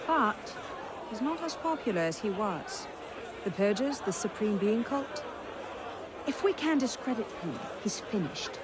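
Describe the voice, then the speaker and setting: A shrewd, determined woman conspirator speaks briskly and decisively. She sounds calculating, in an outdoor game cutscene with a noisy crowd around her. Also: medium pitch, big pitch swings. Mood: determined, scheming.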